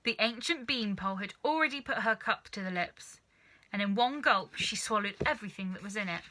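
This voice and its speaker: A woman reading a children's story aloud in an expressive, dramatic narrating style. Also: medium pitch, a little fast. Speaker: storybook reader.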